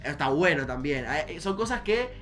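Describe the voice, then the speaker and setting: Higher-voiced young Argentine man. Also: sing-song, moderate pace, fairly bright tone, soft background music. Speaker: podcast host. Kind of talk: casual podcast chat.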